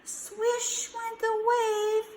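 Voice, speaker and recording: A woman hosting a children's storytime, reading aloud and leading counting rhymes in a slow, expressive, sing-song way. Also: extremely high pitch, music in the background. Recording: echoey room with faint background hiss.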